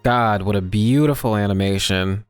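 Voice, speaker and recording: Female streamer, very low register, slangy and deadpan, chatting over a game with faint music. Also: moderate pace, smooth. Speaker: gameplay streamer. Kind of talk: casual game playthrough commentary.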